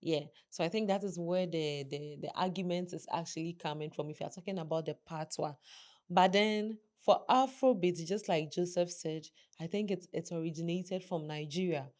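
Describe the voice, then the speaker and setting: Female guest, lowish voice, thinking aloud. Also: moderate pace, a little mellow. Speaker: panel guest. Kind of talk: casual panel debate. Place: studio with woven cloth backdrop. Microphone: studio mic on a stand.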